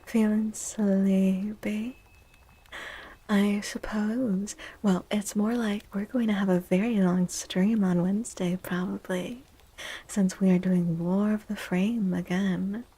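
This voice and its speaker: Female ASMR live stream host: slow, soft and mellow, drowsy chatty delivery that slips into whispers. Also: medium pitch, steady tone, poor audio. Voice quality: soft, breathy. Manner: very chill, drowsy, often dropping to a whisper. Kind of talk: soft-spoken ASMR chat with stream viewers.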